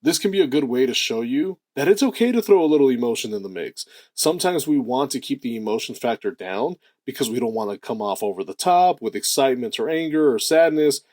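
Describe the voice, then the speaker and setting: A man with a deep voice giving a lively motivational talk, stressing key words and slowing down to draw out phrases for effect. The recording is dry and close, with no room sound around him. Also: no echo. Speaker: self-improvement channel host.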